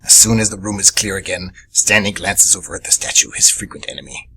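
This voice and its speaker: A man's voice: low voice, fairly even intonation, fairly quick, sharp tone, slight background noise, full character voice, rough recording. A man performing radio theatre as a vengeful, obsessed little monkey demon hunter, narrating with grave drama. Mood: brooding, vengeful.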